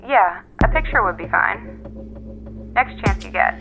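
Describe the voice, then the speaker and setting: A woman's voice: medium pitch, expressive, a little slow, vocal fry, bright, forceful tone, faint music behind, heavily acted, poor audio. A woman acting in an audio drama as a concerned, curious caller, speaking casually and tentatively.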